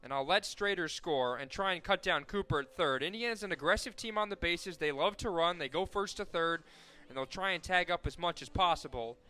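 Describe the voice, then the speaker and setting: A man with an American accent does live softball play-by-play for radio. His voice sits a little high and rings bright and clear. He talks conversationally through the details, ready to lift for the action. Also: fairly quick, few pauses. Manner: conversational between plays, excited calls on action.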